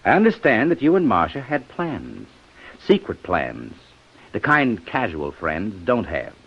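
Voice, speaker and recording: An American actor playing a sharp, methodical police inspector with a probing, accusatory manner in a 1950s radio detective drama. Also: male voice, fairly low pitch, huge pitch swings, measured pace, slightly rough, heavily acted. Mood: accusing, probing. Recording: dull vintage radio recording.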